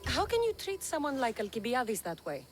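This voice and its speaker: An actress playing a blunt, protective mercenary heroine in a video game, direct and dry in manner. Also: female voice, on the high side, wildly swooping pitch, normal speed, soft background music. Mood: challenging. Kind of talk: video game cutscene acting.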